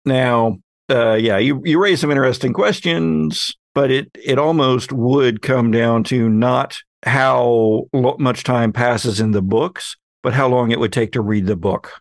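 A man hosting a casual podcast discussion, musing in a measured way. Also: medium pitch, no room sound, studio quality. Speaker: podcast host.

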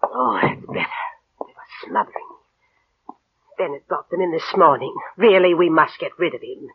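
An older, complaining aunt in a 1940s radio play. Her voice is rough and husky, her manner demanding and scolding. The recording is thin and phone-like. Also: low-pitched, lively intonation, moderate pace, heavily acted. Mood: imperious, fretful. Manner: scolding, sarcastic, fussy. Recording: tinny, narrow vintage radio recording.

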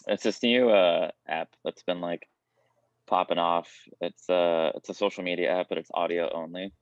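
A man's voice: deep voice, steady tone, normal speed, dry recording. A man chatting casually on a video call with dull, lo-fi sound. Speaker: podcast cast member. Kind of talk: casual chat.

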